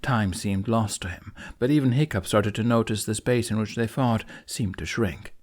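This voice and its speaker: A man's voice: on the low side, moderate pace, darkish tone. Man dramatically narrating a fantasy audiobook.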